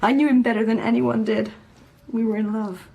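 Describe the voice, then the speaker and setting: Husky, high-voiced grieving young student. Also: female voice, fairly even intonation, moderate pace, dark tone. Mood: hurt, wistful. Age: young adult. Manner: hurt, earnest, guarded. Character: grieving, defensive young female student in love. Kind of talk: naturalistic radio drama acting.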